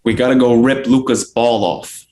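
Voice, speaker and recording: A woman doing a cocky young guy, lower than her own voice. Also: steady tone, normal speed, a little mellow. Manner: cocky, teasing. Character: cocky young male cousin, scheming.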